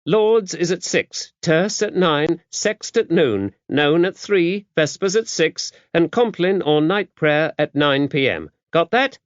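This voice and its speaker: A stern, large-framed older nun as a male audiobook narrator plays her, gruff and matter-of-fact. The voice is lifted well up in pitch and moves in lively swoops. She is brusque and worldly-wise. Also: slow, few pauses, no echo, muffled recording. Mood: brisk, drilled. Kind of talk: audiobook character dialogue.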